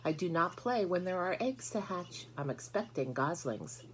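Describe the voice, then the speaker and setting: A woman's voice: lowish voice, animated, normal speed, a little hiss or hum, voice pushed up, lightly acted. A woman voicing a busy, fussy mother goose in a storybook, echoing her words in rhyming repeats. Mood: fussy, apologetic. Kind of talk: storybook character voice.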